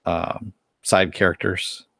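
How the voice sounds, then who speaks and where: A man with a lowish voice co-hosts a casual podcast, bringing up news and slipping in quick puns. His sound is close and dry. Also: steady tone, fairly bright tone, dry recording. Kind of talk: casual chat.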